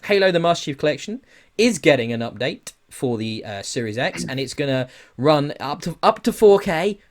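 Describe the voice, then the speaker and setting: A man's voice: on the high side, big pitch swings, normal speed. A British man hosting a podcast, chatting casually through gaming news. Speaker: podcast host.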